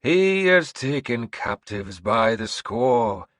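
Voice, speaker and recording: A man's voice: mid-range voice, big pitch swings, fairly bright tone, dry recording, pitched above the performer's own voice. A man playing a menacing old throne queen. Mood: gloating. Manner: slow, hissing, imperious pronouncements. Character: sinister old woman ruling from a throne.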